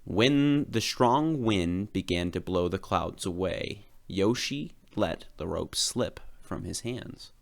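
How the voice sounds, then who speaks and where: A man narrates a children's story aloud. His voice sits a little low but moves widely in pitch, with expressive, dramatic delivery. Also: slow pace, vocal fry, few pauses. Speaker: storybook reader.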